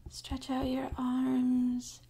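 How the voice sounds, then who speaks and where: A woman speaking softly and half-whispering in a gentle, soothing, unhurried way, as an ASMR guide leading a sleep meditation. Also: high-pitched, monotone. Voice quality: soft, breathy, half-whispered.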